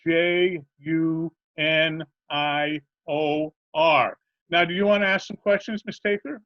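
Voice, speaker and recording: A man's voice: a little high, fairly even intonation, slow. A man playing a genial, scatterbrained butcher in old-time radio comedy style, chatty, earnest and politely literal-minded. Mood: earnest, muddled. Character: genial scatterbrained male butcher who muddles every question. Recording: dull remote video-call recording.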